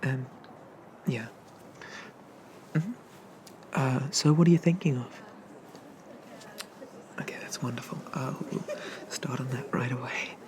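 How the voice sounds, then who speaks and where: A performer playing a friendly, bashful monster barista in a soft, hesitant, gently chatty whispered ASMR roleplay. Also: medium pitch, slow, pauses often, noisy background, lightly acted. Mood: gentle, attentive. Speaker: coffee shop barista.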